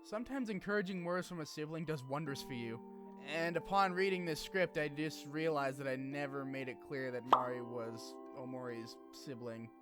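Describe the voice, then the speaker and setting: A man hosting a video essay, reading a scripted game story recap with informal, animated asides and some swearing. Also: fairly high pitch, moderate pace. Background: music under the voice.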